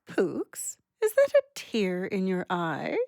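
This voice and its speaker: An actress in an audio drama playing a playful, gently teasing small bird. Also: female voice, on the low side, wildly swooping pitch, takes it slowly, vocal fry, dry recording, studio quality, heavily acted.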